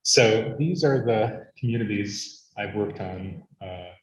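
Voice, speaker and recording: A man from Arizona, whose first language is Latvian, giving a measured conference lecture with frequent fillers. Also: fairly low pitch, echoey room, slight background noise, low-quality recording. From Arizona, United States. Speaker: academic speaker.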